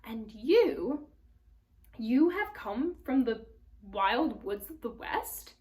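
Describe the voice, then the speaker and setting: A woman's voice: on the high side, very animated, extremely slow, darkish tone, full character voice. A woman voicing a friendly, easily flustered male faun from a storybook, speaking politely and formally with hesitant repetitions. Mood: courteous, curious. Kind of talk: storybook character read aloud.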